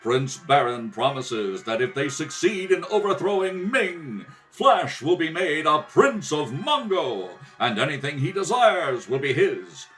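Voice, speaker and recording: A man's voice: a little high, big pitch swings, measured pace, hardly any pauses, a bit bright, soft background music, low-quality recording. A man narrating an adventure radio serial in a theatrical, emphatic scripted style. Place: kitchen table at home.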